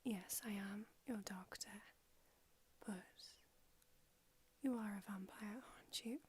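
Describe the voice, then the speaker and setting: A woman's voice: medium pitch, takes it slowly, slight background noise, close to an everyday voice. A woman whispering in an ASMR roleplay, voicing a brusque, haughty old female vampire doctor with a dry manner. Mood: whispery, brusque.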